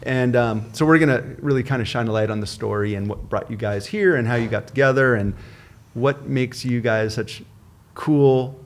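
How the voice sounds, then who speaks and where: Chatty, upbeat man hosting a podcast. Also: mid-range voice. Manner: upbeat, chatty, quick to joke. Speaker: podcast host. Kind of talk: casual interview chat.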